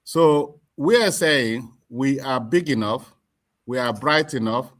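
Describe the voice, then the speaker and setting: A middle-aged Nigerian man with a Nigerian accent, speaking as an interview guest in a deliberate, emphatic way, repeating words as he forms his points. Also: medium pitch, a bit bright. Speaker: community association chairman, interview guest. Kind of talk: explanatory interview talk.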